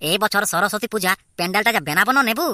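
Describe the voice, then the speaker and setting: A man's voice: high-pitched, rapid-fire, sharp tone, no room sound, studio quality. A man playing a bossy village lad who leads his friends in an amateur cartoon, with a Purulia Bengali accent. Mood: eager, bossy. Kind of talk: amateur cartoon comedy dialogue.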